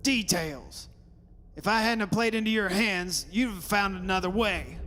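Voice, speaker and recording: A man's voice: high voice, measured pace, bright, forceful tone. A man playing a proud, sceptical father in a radio horror drama, arguing insistently. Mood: accusing. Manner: insistent, argumentative. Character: stubborn sceptical father, proud and blustering, rationalising away terror. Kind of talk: old-time radio horror drama acting. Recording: hissy recording in a reverberant hall.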